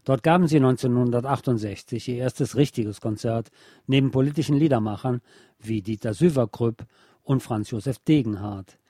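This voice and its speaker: Mellow man narrating, very dry sound. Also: mid-range voice, dry recording. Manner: measured, literate, factual. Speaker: radio feature narrator. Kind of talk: scripted documentary narration.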